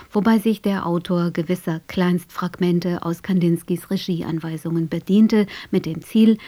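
A woman reading a scripted introduction for a radio arts broadcast in a measured, factual way. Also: lowish voice, mellow. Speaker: radio presenter introducing an audio drama.

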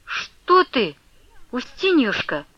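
A woman's voice: high-pitched, very animated, slow pace, sharp tone, no echo, dull audio, voice pushed up, exaggerated voice. A woman voicing a meek young maiden in an audiobook, sounding bewildered, timid and anxious.

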